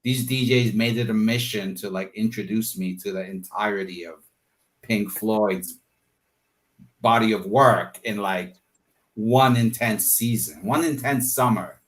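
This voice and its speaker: A man's voice: fairly low pitch, a little slow. A man talking casually as an interview guest, thinking aloud in long, rambling asides.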